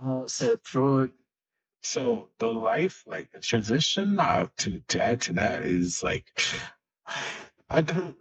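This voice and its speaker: A man from Haiti in his twenties, a guest musician speaking haltingly and searching for words in a casual interview. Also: medium pitch, big pitch swings, breathy edge. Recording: dull lo-fi sound.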